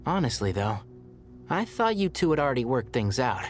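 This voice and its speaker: An actor playing a composed, gently wry male colleague in a video game, speaking in a measured manner. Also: mid-range voice, sing-song, faint music behind, natural voice. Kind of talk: video game dialogue acting.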